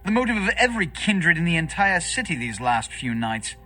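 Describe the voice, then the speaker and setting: Video game vampire prince: pompous, scheming, bright forceful voice, highly animated pitch. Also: male voice, brisk, soft background music, heavily acted. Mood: grave, commanding. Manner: pompous, formal, grandiloquent. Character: imperious, theatrical vampire prince, scheming and haughty. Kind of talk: dramatic video game voice acting.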